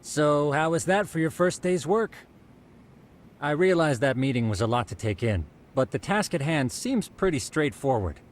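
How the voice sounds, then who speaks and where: A man's voice: fairly high pitch, lively intonation, moderate pace, natural voice. An actor playing a genial, methodical male detective in a video game drama, with polite, quaintly formal speech. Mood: genial, reassuring. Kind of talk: video game cutscene acting.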